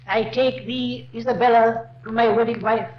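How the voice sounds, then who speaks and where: A solemn clergyman in an old radio drama. He intones very slowly in a high voice, with heavy echo on a muffled recording. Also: fairly even intonation, slight background noise. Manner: slow, ceremonial intoning. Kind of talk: 1950s radio drama acting.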